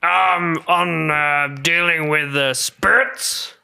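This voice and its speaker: A man's voice: fairly high pitch, fairly even intonation, slow pace, creaky, bright, forceful tone. A man playing an improvised comedy tabletop game, talking in casual roleplay.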